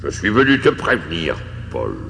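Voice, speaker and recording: A doomed male ghost from a storybook, acted by a man, solemn and ominous. The low voice crackles with gravel and stretches out each word, swinging widely in pitch. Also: huge pitch swings, fairly bright tone, reverberant, in a large hall, exaggerated voice. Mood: grave warning. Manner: slow, solemn, ominous delivery. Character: doomed male ghost warning an old partner. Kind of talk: storybook dramatization.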